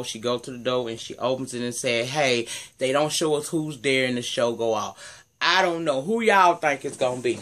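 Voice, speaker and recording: A man with a deep voice giving a casual, sassy, profane recap of a TV episode, talking straight to his viewers. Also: a bit bright. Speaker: TV recap vlogger.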